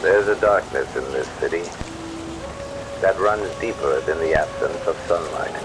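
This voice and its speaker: A man performs a brooding late-night radio host in an audio roleplay. His deep voice delivers a scripted monologue in a measured, theatrical, ominous way. Loud music and rain play behind him. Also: rough recording.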